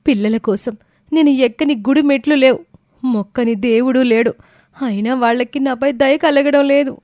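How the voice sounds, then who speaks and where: A woman's voice: sits high, moderate pace, darkish tone, dry recording, studio quality, lightly acted. A woman playing a sorrowful, meek young wife with a plaintive manner in a story drama. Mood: tearful lament.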